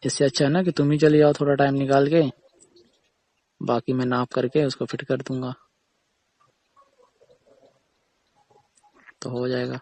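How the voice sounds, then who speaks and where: Guy acting a husky gravelly tailor on a call, defensive, keeps repeating his point. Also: mid-range voice, level tone, brisk, darkish tone, close to an everyday voice. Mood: defensive, insistent. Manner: insistent, explaining, repetitive. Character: defensive male tailor arguing patiently with a customer.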